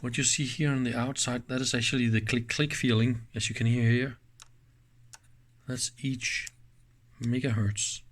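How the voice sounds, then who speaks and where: A man's voice: mid-range voice, little pitch movement, unhurried. A man gives a casual, hands-on technical demonstration in an informal, enthusiastic manner. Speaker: host of an electronics teardown channel.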